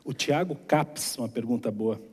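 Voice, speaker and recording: A man moderating a lecture Q&A, reading out audience questions in a dark, mellow voice. Long theater reverb hangs behind him. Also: medium pitch, moderate pace, breathy edge. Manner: reads questions aloud, brief affirmations. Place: theater auditorium.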